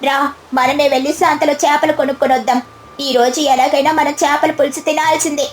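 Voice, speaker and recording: A woman voicing an eager young village daughter-in-law in a bright, insistent manner for a storybook tale. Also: high voice, flat delivery, fairly quick, slight background noise, voice pushed up, lightly acted.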